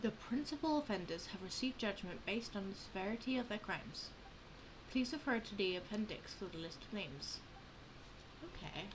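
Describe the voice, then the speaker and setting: A woman hosting a game playthrough, commenting casually and reading text aloud in an informal, halting way. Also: mid-range voice, animated. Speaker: gamer, channel host. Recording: clear background noise with game audio.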